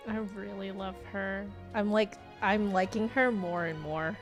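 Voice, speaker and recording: Chatty woman streamer, medium pitch. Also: measured pace. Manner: chatty, rambling. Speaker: game streamer. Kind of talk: casual live game commentary. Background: game music.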